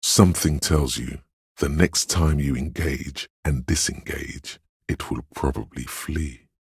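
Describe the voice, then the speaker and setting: A man voicing a solemn, tender inner voice that reads others' feelings in a video game, measured and brooding in delivery. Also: dry recording, clean studio sound, full character voice. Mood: brooding, gentle. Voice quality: deep, husky, gravelly. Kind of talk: scripted video game narration.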